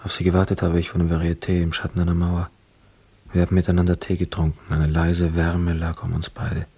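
An actor playing a poor, wistful young man who narrates a literary radio drama in a measured, reflective manner. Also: low-pitched, completely flat delivery, breathy edge. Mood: wistful, jealous. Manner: measured, reflective, hushed inner asides.

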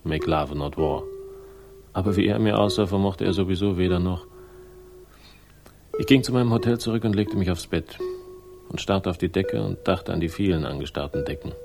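An actor playing a cynical, brusque investigator in a 1960s German radio crime drama, dry and sardonic. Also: male voice, sits low, creaky. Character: cynical hard-boiled male investigator, wry and brusque.

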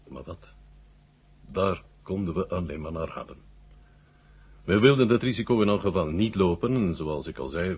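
A man playing a senior Soviet marshal in a 1970 Dutch radio drama, urbane and dryly ironic, speaking deliberately with a husky voice and thoughtful pauses. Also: sits low, a little mellow, heavily acted. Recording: muffled old radio recording.

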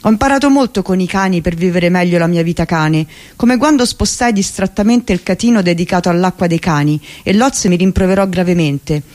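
A reader giving a measured, reflective first-person memoir reading on radio. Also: mid-range voice, darkish tone.

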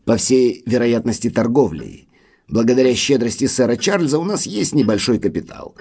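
An actor playing a formal, polite manservant of an old manor in an audio drama. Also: male voice, medium pitch, big pitch swings, normal speed, darkish tone, breathy edge. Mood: deferential, formal. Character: deferential, sorrowful manservant butler of an old manor.

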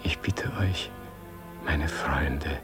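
A man playing a dying, serene Gandhi in a solemn radio play, speaking in a gentle, contemplative, weary manner. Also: rumbling low, extremely slow, over music, heavily acted.

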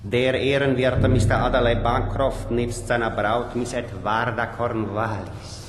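An actor playing a courtly, commanding vampire count in a radio drama, speaking in a formal, measured way. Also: male voice, mid-range voice, little pitch movement, natural voice. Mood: courtly, composed. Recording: echoey hall with background noise.

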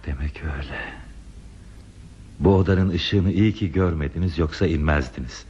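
An actor playing an earnest, anxious railway stationman in a radio play, with a husky voice. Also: rumbling low, moderate pace, darkish tone, heavily acted. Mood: thoughtful, curious. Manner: earnest, repeats words when flustered. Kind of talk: Turkish radio theatre acting. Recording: muffled old radio drama recording.

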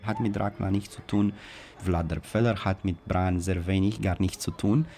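A man's voice: deep voice, steady tone, normal speed, creaky, dark tone. A man who is not a native German speaker, an interviewed expert talking spontaneously and repeating points for emphasis.

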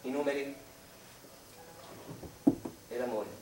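An actor playing a melancholy male mathematician confiding in his mother, in a wistful, halting, theatrical monologue. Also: mid-range voice, steady tone, a little mellow, lightly acted. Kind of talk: dramatic stage monologue. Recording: echoey room, distant mic, music behind.

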